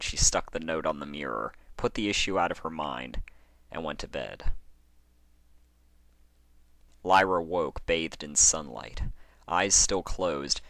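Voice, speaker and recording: A man reading a horror story as narrator, in a measured and dramatic storytelling manner. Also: a bass voice, sharp tone, dry recording. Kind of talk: scripted narration of horror fan fiction.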